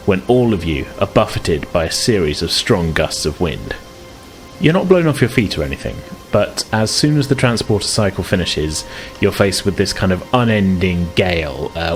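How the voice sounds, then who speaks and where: Low-voiced man narrating over music. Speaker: podcast host and game master. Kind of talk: narrating a tabletop game podcast.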